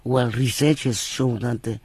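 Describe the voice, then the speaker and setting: Hoarse South African man, halting. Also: mid-range voice, fairly even intonation, a little mellow, no room sound. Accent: South African English. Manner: halting, many "uh" fillers. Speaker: medical doctor guest. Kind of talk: panel discussion.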